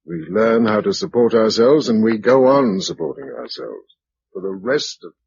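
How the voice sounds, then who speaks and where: A man's voice: medium pitch, moderate pace, creaky, darkish tone, slight background noise, natural voice. An actor playing a practical, weary male leader of a survivor household in a radio drama, matter-of-fact and decisive. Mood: weary, resolute. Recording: dull lo-fi radio recording.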